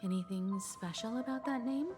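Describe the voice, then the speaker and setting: A woman playing a calm, thoughtful young female adventurer in a video game, asking things in a gentle, measured way. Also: a little high, lightly acted. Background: game music.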